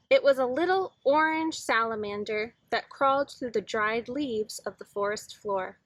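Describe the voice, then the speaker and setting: A woman's voice: fairly high pitch, a bit bright, dry recording. A woman storyteller reading and explaining things simply to young children in an instructive manner. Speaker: children's storyteller, museum educator.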